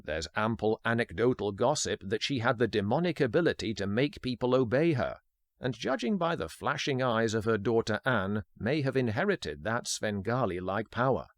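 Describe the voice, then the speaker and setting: A man hosting a podcast, reading a scripted film history narration in a measured, formal way. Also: medium pitch, lively intonation, dry recording, studio quality. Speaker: podcast host.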